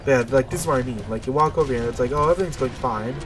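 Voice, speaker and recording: A man with a congested, nasal voice at a middling pitch talks through his gameplay casually and without a script. He rambles in a laid-back way, with music playing behind him. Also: racing. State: stuffy nose. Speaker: gamer giving video game commentary. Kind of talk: casual unscripted gameplay commentary.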